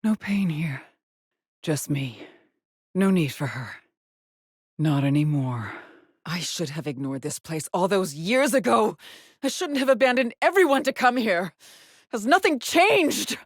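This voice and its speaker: An actress playing a haunted, weary woman astronaut stranded alone on an alien world in a video game, with a breathy voice and a grave, brooding delivery. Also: low voice, expressive, slow pace, pauses often, mellow, dry recording, studio quality, heavily acted. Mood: haunted whisper, then weary, bitter. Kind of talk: dramatic game voice lines, monologue logs.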